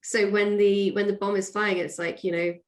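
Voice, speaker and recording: A woman's voice: mid-range voice, little pitch movement, moderate pace. Chatty woman in a casual interview. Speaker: guest, audio drama writer.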